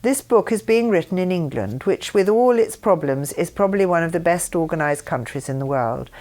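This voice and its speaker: A woman reading a written text aloud in a measured, deliberate way, phrase by phrase. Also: lowish voice, animated, a little mellow. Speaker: reader of her mother's writings.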